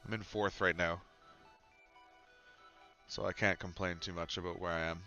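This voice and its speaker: Low-voiced stuffed-up man. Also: moderate pace, muffled recording, faint music behind. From Canada. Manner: relaxed musing, sudden excited reactions. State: stuffed up with a cold. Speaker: streamer playing a football management game. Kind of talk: casual gameplay commentary.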